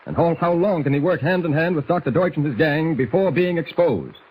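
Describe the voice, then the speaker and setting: A man's voice: a little high, fairly quick, husky. A man narrating a 1940s radio serial as its announcer, formal, dramatic and emphatic to build suspense. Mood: suspenseful. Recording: dull, slightly noisy 1940s radio recording.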